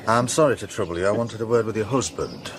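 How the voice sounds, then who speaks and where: Middle-aged male detective, lowish pitch, polite, quietly probing, understated radio drama, noticeable background noise. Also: expressive, quick pace. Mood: polite, awkward. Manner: understated, terse questioning, polite. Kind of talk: naturalistic radio drama acting.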